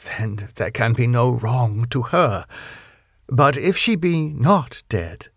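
Man playing a grave, slow-spoken elderly doctor. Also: medium pitch, animated, creaky, a bit bright, breathy edge, no echo, clean studio sound, voice pushed down, full character voice. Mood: measured, insistent. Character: grave, stern elderly foreign male doctor, pleading and solemn.

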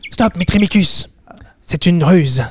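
Man playing a high-pitched, stammering, touchy elf. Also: lively intonation, slightly rough, heavily acted. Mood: suspicious. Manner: halting, stammering, repeating words. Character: whiny, touchy elf adventurer longing to become a dwarf. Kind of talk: improvised fantasy audio sketch.

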